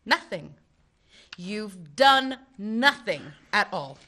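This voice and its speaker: An actress playing a bitter, sharp-tongued office manager in a stage comedy. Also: female voice, mid-range voice, lively intonation, extremely slow, exaggerated voice. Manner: snappy, sarcastic.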